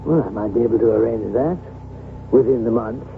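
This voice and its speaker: An actor playing a cordial, courtly Parisian gentleman and loyal friend in a 1970s radio drama. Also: medium pitch, fairly quick, vocal fry, lightly acted. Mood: attentive. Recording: tinny narrow-band old radio, faint noise.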